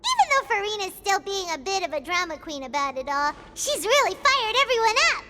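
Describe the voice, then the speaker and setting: A woman voicing a tiny, cheerful, excitable childlike companion in a video game, squeaky and gushing. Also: brisk, very noisy. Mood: excited, teasing. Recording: reverberant, loud music behind.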